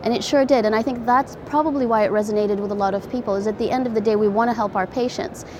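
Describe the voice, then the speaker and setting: A woman's voice: a little high, steady tone, quick pace. A woman cardiologist as an interview guest, explaining things earnestly in a conversational way. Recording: clearly noisy conference background.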